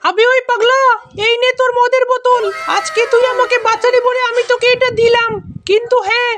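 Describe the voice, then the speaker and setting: A woman's voice: very high voice, level tone, fairly quick. A woman voicing a bossy, threatening wife and mother in a comic Bangla cartoon fan dub, scolding and exclamatory.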